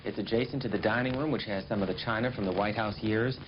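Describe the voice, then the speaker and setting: A man hosting a television interview and house tour, asking brisk, direct questions. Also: fairly low pitch. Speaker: television host. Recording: muffled broadcast audio with faint hiss.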